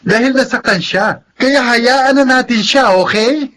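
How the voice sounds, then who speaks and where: High-voiced, dismissive brother. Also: male voice, measured pace, a bit bright, slight background noise. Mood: indignant. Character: cold, incredulous adult brother, self-interested and dismissive. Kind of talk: Tagalog radio drama acting.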